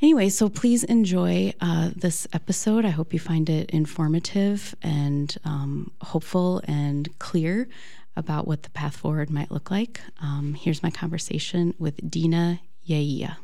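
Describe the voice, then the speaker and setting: A woman's voice: low voice, a little slow, creaky, warm tone. An American woman hosting a podcast interview, chatty and casual with many filler words. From United States. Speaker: podcast host.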